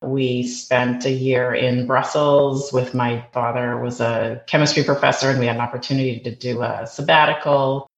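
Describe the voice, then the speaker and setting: A woman's voice: rumbling low, fairly even intonation, normal speed, few pauses. A Canadian woman, a podcast guest, telling stories about her childhood in a chatty way and restarting sentences as she goes. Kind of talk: conversational interview. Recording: roomy, dull remote recording with faint noise.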